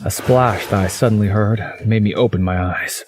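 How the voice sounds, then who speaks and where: A man's voice: on the low side, normal speed, a little mellow. A man narrating a visual novel in the first person, as a story's narrator.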